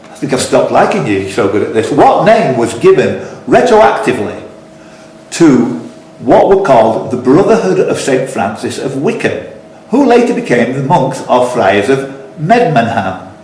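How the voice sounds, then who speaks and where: A man's voice: mid-range voice, big pitch swings, moderate pace, a little mellow. A man hosting a live quiz, reading out questions and rambling into teasing, jokey asides. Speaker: quiz host. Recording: echoey room, slight background noise.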